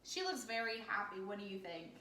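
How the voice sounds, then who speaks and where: A woman's voice: mid-range voice, big pitch swings, a little fast, bright, forceful tone. A woman teacher reading a picture book aloud interactively, speaking in simple phrases for children and asking prompting questions. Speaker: elementary teacher. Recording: echoey room with background noise.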